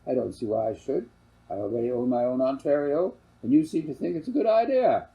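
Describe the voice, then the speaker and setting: A man's voice: medium pitch, lively intonation, fairly quick, dark tone, voice pushed up, natural voice, rough recording. A man voicing a plain-spoken, skeptical farmer father with a blunt, firm manner in a storybook.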